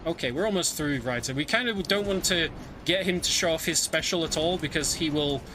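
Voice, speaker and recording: A man giving casual, conversational live commentary on a video game speedrun, often pausing with ums. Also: fairly high pitch, noisy background. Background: game music.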